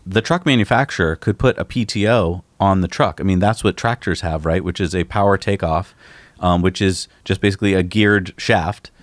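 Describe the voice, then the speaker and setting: A man's voice: sits low, moderate pace, dry recording. A man co-hosting a podcast, explaining technical ideas with enthusiasm in casual chat. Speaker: podcast co-host.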